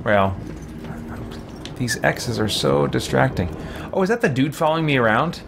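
A man's voice: medium pitch, animated, moderate pace, gravelly, noisy background. A man in his forties giving casual gameplay commentary, musing aloud hesitantly as he streams. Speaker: game streamer. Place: small home room with shelves, indoors.

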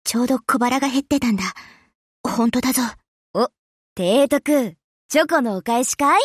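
A woman's voice: sits high, moderate pace, no room sound, clean studio sound. A woman voicing a cool, tomboyish young anime warship girl in an offhand, blunt game-acting style. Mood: cool, satisfied.

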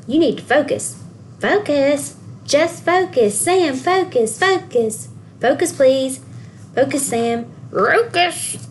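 A woman's voice: high-pitched, animated, takes it slowly, a little hiss or hum, voice pushed up. A woman voicing exasperated adults in a storybook, giving a boy insistent, emphatic orders to focus. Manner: insistent, emphatic short commands.

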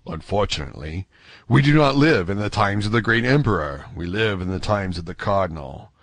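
A man voicing a gentlemanly musketeer in an audiobook, self-possessed and stately, with formal courtesy. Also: lowish voice, animated, a little fast, no room sound. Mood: gallant, musing. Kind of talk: audiobook character acting.

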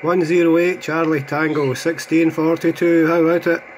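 A man's voice: a little high, level tone, moderate pace. Scottish man on noisy CB radio. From Scotland. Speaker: CB radio operator. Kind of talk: CB radio calls with callsigns. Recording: noisy CB radio hobby recording, loud static.

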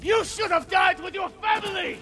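An actor playing a hateful, enraged traitor screaming accusations in video game combat, heard in distant, noisy audio. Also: male voice, very high voice, steady tone, normal speed, shouting.

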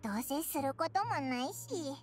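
A woman's voice: lively intonation, moderate pace. A woman voicing a tiny, childlike, cheeky, food-loving fairy companion in a Japanese anime game. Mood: doubtful. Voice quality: squeaky, childlike. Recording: studio voice under game music.

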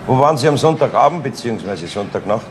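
A man acting a composed police inspector. He questions matter-of-factly in a 1970s radio crime play with clear background noise. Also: medium pitch, very animated, quick pace, lightly acted. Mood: probing. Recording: noisy old radio play recording.

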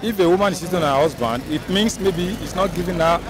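A man's voice: high-pitched, quick pace, background noise. A young Nigerian man in a street interview, explaining earnestly. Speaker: passerby interviewee. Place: busy city roadside outdoors. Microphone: handheld mic held by interviewer.

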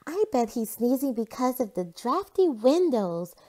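A curious young storybook girl, acted out by a woman reader, speaks in a raised, animated voice. She is lively and exclamatory, with expressive swings of pitch. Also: measured pace.

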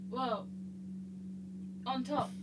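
Female co-host: high-pitched, unhurried casual asides over clear room noise. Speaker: reaction co-host. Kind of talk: casual chat, short asides.

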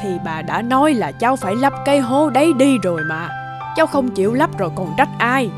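A woman voicing a kindly, worrying old village grandmother who gently chides. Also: on the high side, big pitch swings, a little fast, music in the background. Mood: chiding. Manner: fretful, gently chiding. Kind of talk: animated folk tale dubbing.

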